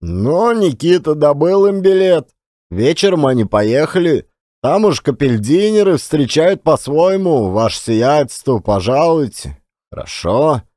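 A man's voice: fairly high pitch, big pitch swings, a little mellow, no echo, studio quality. A man voicing an old former billiard marker who tells his memories in folksy, colloquial turns of phrase for an audiobook drama. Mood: animated recounting. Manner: colloquial, unhurried storytelling with rustic turns of phrase.

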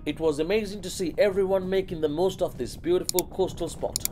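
A man giving a polished, scripted voice-over for a family travel vlog, with an even, descriptive delivery. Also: sits high, brisk, over loud music.

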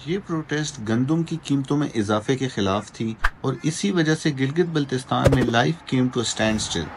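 A man narrating a travel vlog in a calm, informative scripted voice-over. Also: mid-range voice, normal speed, background noise. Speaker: travel vlogger. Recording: voice-over with loud background music.

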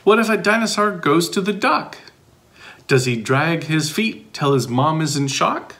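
A man's voice: on the high side, lively intonation, unhurried, a bit bright. A middle-aged man reading a children's picture book aloud expressively, with sing-song questions and playful asides. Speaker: volunteer storybook reader.

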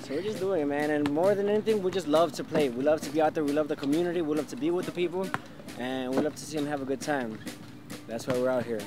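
A young man talks in a relaxed, conversational way, now and then slipping into Spanish phrases. He sounds like he's on an outdoor court, caught on a camera mic at a little distance. Loud band music plays behind him. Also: fairly high pitch, fast talker. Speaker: band bass player. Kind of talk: casual interview chat. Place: outdoor basketball court, park.

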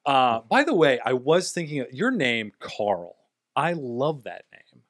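A young man hosting a comedy podcast in a small home studio, talking on a boom mic in a playful, joking way. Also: big pitch swings, a little slow, no echo. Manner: playful, jokey, bursts of loud laughter. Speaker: podcast host. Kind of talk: casual comedic chat. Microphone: studio mic on boom arm.

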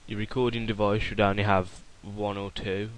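A young man narrates a screen-recorded computer tutorial in a low, fairly flat voice. He talks loosely, with plenty of ums and restarts. A faint hiss and clicking sit under the recording. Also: normal speed, low-quality recording. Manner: rambling, informal, with many fillers and restarts. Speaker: software tutorial host.

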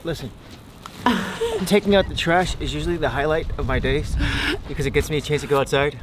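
Middle-aged male vlogger, slightly high and very lively, handheld cam on a boat, noisy marina. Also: a little fast. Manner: animated, joking. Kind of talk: casual vlog chat. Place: aboard a sailboat in a marina, indoors and out. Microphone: camera at arm's length.

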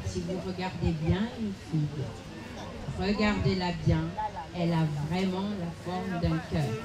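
A woman storyteller telling a folk tale to an audience outdoors on a handheld mic, with dramatic pauses. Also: on the low side, fairly even intonation. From Cape Verde, raised in Senegal. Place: outdoor festival park. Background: crowd.

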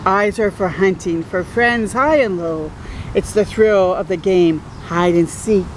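A woman's voice: mid-range voice, unhurried, a little hiss or hum, pitched below the performer's own voice, natural voice, rough recording. Patient daddy monster, read by a woman. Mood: patient, playful. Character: patient, playful daddy monster reassuring his young son.

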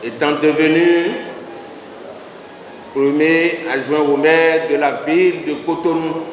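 A middle-aged man speaks slowly and emphatically, hammering his points with repetition, as a politician addressing the press. His voice rings through a big echoey hall with a long reverb tail. The noise of a rally crowd sits behind him. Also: a little high, steady tone, far from the mic. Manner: slow, deliberate, emphatic with repetitions. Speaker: acting city mayor and party leader. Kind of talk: formal political statement to press. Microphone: handheld reporter microphones held close.

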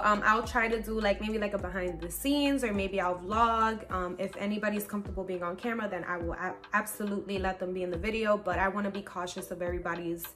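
A woman about thirty chats to her camera from her bedroom, upbeat and full of fillers. Her voice sits at an ordinary middle pitch. Soft music plays faintly underneath. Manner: chatty, upbeat, warm toward viewers, many fillers. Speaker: faith lifestyle vlogger. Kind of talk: casual chat to camera. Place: bedroom at home, indoors.

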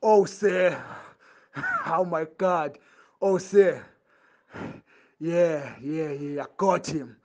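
A man playing a crime drama henchman who fawns on his boss, excitable, repetitive and deferential. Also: on the high side, extremely slow, long pauses. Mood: gleeful. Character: eager, fawning male henchman reporting to his boss.

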